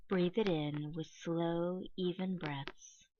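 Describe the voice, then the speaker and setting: A woman reading a scripted guided meditation in a measured, instructional way, pausing between phrases. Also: lowish voice, fairly even intonation, no room sound, lo-fi sound.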